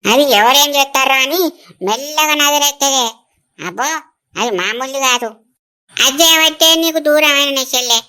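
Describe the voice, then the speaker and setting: A woman plays a village elder sister in a family drama, with a high, bright voice and a Telangana accent. She is blunt and emotional, exclaiming plaintively. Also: normal speed. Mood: scornful. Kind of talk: rural family drama acting.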